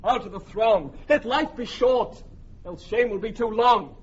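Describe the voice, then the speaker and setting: An actor playing a proud, bitter French duke, declaiming vehemently and scornfully in classical Shakespearean radio style. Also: male voice, sits high, unhurried, slightly rough. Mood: bitter, desperate. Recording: dull, noisy archival radio drama recording.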